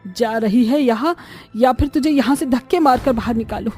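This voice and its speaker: Cartoon family drama: female actor as nagging, cruel elderly mother-in-law, highish voice, taunting delivery, music underneath. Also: moderate pace, a little mellow, lightly acted. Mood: furious, threatening. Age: older. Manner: harsh, taunting, scolding.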